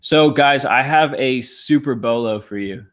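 A man's voice: medium pitch, measured pace, a bit bright. A man in his thirties co-hosting a casual livestream from a living room couch, talking upbeat while showing items to the camera. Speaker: reseller livestream co-host. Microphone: fixed camera across the room.

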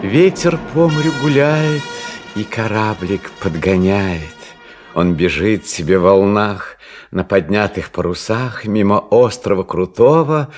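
A man reciting fairy-tale verse as a storyteller, with theatrical, singsong declamation. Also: lowish voice.

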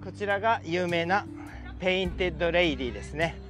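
A man's voice: high voice, a little fast, music in the background. A middle-aged man casually narrating a walking tour outdoors, polite and enthusiastic, speaking into a handheld camera. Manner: chatty, polite, enthusiastic guide. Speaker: vlogger. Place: outdoors on city streets and in a park. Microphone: handheld wide-angle camera.

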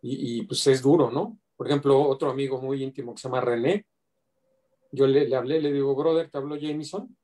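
A man's voice: mid-range voice, fairly even intonation, a little fast, dark tone. A middle-aged man speaking earnestly on a video call, giving a reflective tribute to a friend and mixing in quoted asides. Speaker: community organizer paying tribute.

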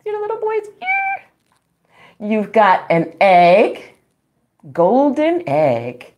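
A woman's voice: a little high, wildly swooping pitch, slow pace, dull audio. A middle-aged woman hosting a storytime, reading aloud to young children with exclamations, sing-song emphasis and questions to her listeners. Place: small room at home, indoors.

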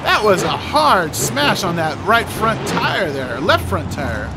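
A commentator giving excited play-by-play of a video game, with exclamatory, drawn-out interjections. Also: medium pitch, very noisy.

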